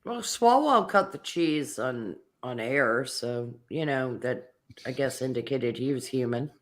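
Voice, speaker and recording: Political talk panelist, mid-pitched voice, casual, sarcastic, profane. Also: expressive, a little slow.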